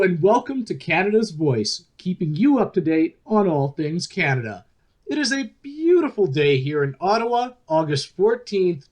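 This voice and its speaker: A man playing animated, theatrical news show host. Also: on the high side. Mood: upbeat. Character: upbeat chatty male news talk show host. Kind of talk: news podcast hosting in a radio play.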